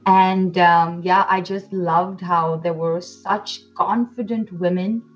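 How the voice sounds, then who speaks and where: A woman's voice: on the low side, fairly even intonation, slow, dull audio, faint music behind. A woman in her twenties who grew up in India, talking in a chatty, enthusiastic way with many fillers and restarts as an interview guest. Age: 20s. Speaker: pageant titleholder guest. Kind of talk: casual interview.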